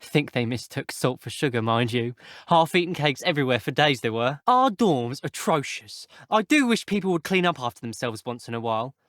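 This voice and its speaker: A young man with a British accent voicing a chatty, wry, opinionated teenage schoolboy wizard in a video game, in an expressive, slightly posh conversational way. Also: medium pitch, a little fast, dry recording, studio quality, natural voice. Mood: animated, indignant. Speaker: game voice actor. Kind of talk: scripted video game character barks.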